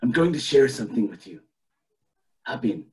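A man voicing a scheming, self-pitying old tycoon with theatrical, drawn-out delivery in an amateur cartoon table read. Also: mid-range voice, very animated, noisy background, lightly acted. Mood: conspiratorial.

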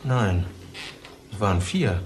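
An actor playing a middle-aged serial killer tormented by his mother, confessing haltingly in a crime radio drama. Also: lowish voice, lively intonation, a little hiss or hum. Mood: subdued, insistent. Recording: music underneath the voice.

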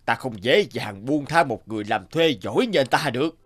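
A man voicing a greedy, smug rich landlord in an animated folk tale, with a drawling, mock-regretful manner. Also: mid-range voice, brisk, faint music behind.

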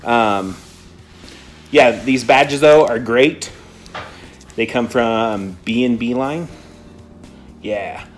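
A man's voice: mid-range voice, drawn-out words, long pauses, faint music behind. Jokey man filming himself in a storeroom. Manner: jokey, chatty, self-mocking. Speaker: print shop coworker. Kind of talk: casual chat to camera. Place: print shop storeroom indoors. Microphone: phone at arm's length.

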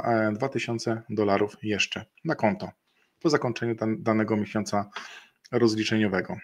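A middle-aged man presenting a webinar over a video call, explaining a bonus program in a halting way with frequent fillers and restarts. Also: mid-range voice. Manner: halting, many fillers and restarts, slow deliberate pace in places. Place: indoor room, video call.

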